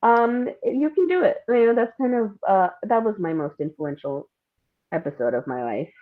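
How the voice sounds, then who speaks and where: A woman from India and Singapore chatting casually as an interview guest, telling personal stories in an animated way with frequent laughter. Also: mid-range voice, normal speed. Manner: animated, laughs often while talking. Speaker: podcast guest, playwright and screenwriter. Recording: thin phone-like call audio.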